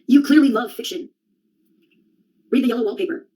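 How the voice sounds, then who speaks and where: A woman's voice: a little high, a little fast, dark tone. A young adult woman in a rambling solo monologue, sardonic and profane, jumping from one topic to the next. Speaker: vlogger.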